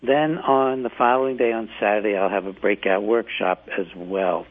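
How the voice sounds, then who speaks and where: An older man hosting a radio show from home, hoarse from the flu, rambling with fillers and restarts. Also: fairly low pitch, steady tone, normal speed. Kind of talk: casual talk radio monologue. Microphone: home setup, not his usual studio mic. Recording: tinny phone-like line.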